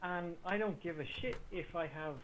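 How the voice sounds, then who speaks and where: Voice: medium pitch, moderate pace. A guest with a Dublin accent, chatting emphatically over a voice call, often stumbling over the start of a sentence. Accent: Dublin Irish. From Dublin, Ireland. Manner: emphatic, repeats words while starting sentences. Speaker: guest on a stream. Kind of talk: casual chat on a call. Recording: tinny call audio with faint hiss.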